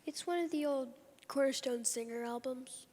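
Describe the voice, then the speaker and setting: A woman's voice: high voice, moderate pace, darkish tone. A woman playing a naive, mischievous comic character in a stage radio show, recorded in an echoey hall with noise. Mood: naive, dreamy. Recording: echoey hall, noisy background.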